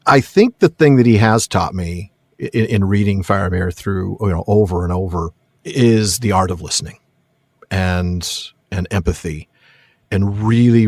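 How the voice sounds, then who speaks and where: A man's voice: low-pitched, a little mellow, husky, no echo. A man hosting a podcast in casual, reflective discussion, enthusiastic and given to repeating words for emphasis. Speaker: podcast host.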